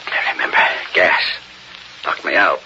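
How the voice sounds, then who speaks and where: An actor playing a dazed but determined, indignant middle-aged American man in an old radio drama. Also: natural voice. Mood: groggy, confused. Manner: halting, muttered fragments turning to firm orders. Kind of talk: 1930s-40s radio drama acting. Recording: dull, noisy old radio recording.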